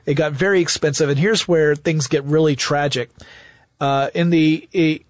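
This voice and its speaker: A man with a fairly high voice explains history as a show co-host. His delivery is conversational and story-driven, leaning on repeated words for emphasis. The sound is dry, with very little room in it. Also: steady tone, normal speed, dry recording. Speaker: podcast co-host.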